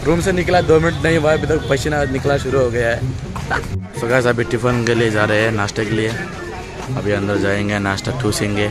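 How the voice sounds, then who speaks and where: A man's voice: medium pitch, steady tone, fairly quick. A young man vlogging on a walk, talking casually to his viewers about the day and laughing often, filmed on a phone held at arm's length outdoors. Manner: chatty, laughing, addresses viewers as friends. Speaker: travel vlogger. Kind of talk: casual travel vlog. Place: outdoors on busy town streets and at a tourist site. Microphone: phone held at arm's length, selfie. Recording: loud background music over the talk.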